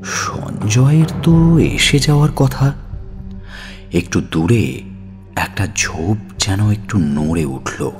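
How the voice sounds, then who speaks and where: A male narrator with a low voice tells a dramatic story over soft background music. He takes his time, letting suspenseful pauses hang in a theatrical, scripted delivery.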